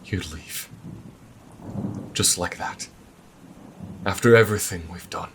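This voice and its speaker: A man's voice: low-pitched, takes it slowly. A man playing a stern, devout church keeper, anguished and reproachful toward his lover in a fantasy audio drama. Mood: hurt. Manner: grave, reproachful, emotional. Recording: rain and thunder noise behind.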